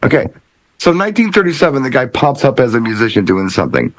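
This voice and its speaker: A man's voice: mid-range voice, sing-song, fast talker, a crowd in the background, rough recording. A man in casual podcast chat, speaking emphatically and often repeating his words. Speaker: podcast panelist.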